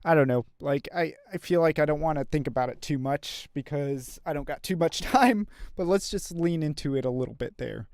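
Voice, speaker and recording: A man's voice: on the high side, brisk, hardly any pauses, darkish tone, no echo, studio quality. A man composing music on a live stream, thinking aloud in a casual, rambling way with many fillers. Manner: talks to chat, reads out viewer comments. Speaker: composer streaming his work.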